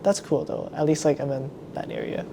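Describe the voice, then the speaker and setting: A man's voice: a little high, wildly swooping pitch, brisk, gravelly, noisy background. A young man from Indonesia hosting a podcast, chatting casually and filling his sentences with lots of "like". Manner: chatty, filler-heavy, lots of "like". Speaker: podcast host.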